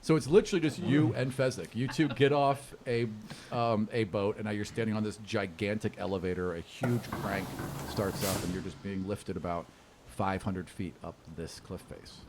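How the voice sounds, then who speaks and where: Low-voiced man narrating with faint noise. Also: steady tone. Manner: casual, halting, descriptive. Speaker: game master. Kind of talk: improvised tabletop game narration.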